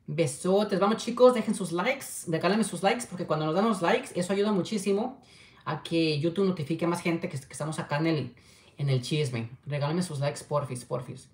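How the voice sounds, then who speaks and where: A man's voice: a little high, normal speed. A man, chatting affectionately on a livestream and reading viewer comments. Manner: affectionate, chatty, keeps urging likes. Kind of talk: casual livestream chat. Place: small home room with window. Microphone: webcam at desk distance. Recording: echoey room.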